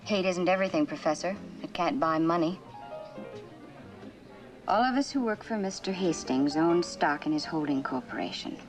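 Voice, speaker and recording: An actress playing a cynical, mocking femme fatale in a mid-century suspense drama, coolly flippant in manner. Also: female voice, fairly low pitch, animated, normal speed, over music, lightly acted, poor audio. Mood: cool, wry.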